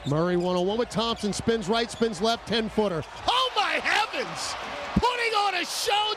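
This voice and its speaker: A man doing excited radio basketball play-by-play in clipped calls with theatrical flourishes, often raising his voice to a shout. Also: extremely high pitch. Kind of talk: excited live sports commentary. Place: courtside in a basketball arena. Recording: noisy live arena broadcast. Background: crowd and bouncing basketball.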